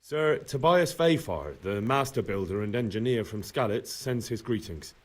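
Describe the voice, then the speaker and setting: An actor playing an earnest, respectful young medieval messenger in a video game, speaking politely and directly. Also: male voice, mid-range voice, lively intonation, normal speed, recorded from a distance, lightly acted. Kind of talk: video game cutscene acting.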